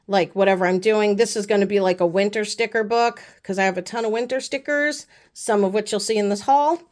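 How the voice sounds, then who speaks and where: A woman vlogger, sick with the flu, chatting casually and rambling with lots of fillers while unboxing a haul. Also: mid-range voice. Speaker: beauty vlogger.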